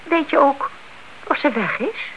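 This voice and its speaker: An actress playing a nosy, suspicious older aunt, chatty and insistent, in a radio play. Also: female voice, high-pitched, lively intonation, unhurried, fairly bright tone. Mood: gossipy, curious. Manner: chatty, insistent, inquisitive, repeats herself. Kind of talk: Dutch radio play acting. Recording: dull, noisy old radio-play recording.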